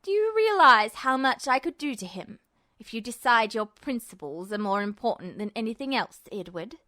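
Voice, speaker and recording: A woman's voice: on the high side, very animated, no room sound, clean studio sound, voice pushed down. A woman voicing a cold, sadistic man, a smug villain who taunts and threatens in an audiobook story. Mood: cold, menacing. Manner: taunting, softly drawn-out threats.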